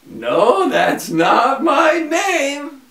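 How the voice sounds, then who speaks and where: A man voicing a funny, sly little man in a fairy tale, with a high shrill tone. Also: sing-song, drawn-out words, fairly bright tone, full character voice. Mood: teasing. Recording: echoey room, far from mic.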